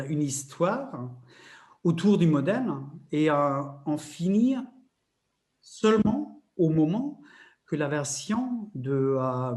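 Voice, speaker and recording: A man's voice: a little high, darkish tone. A man facilitator explaining a workshop method in a webinar, hesitant, with many fillers and restarts.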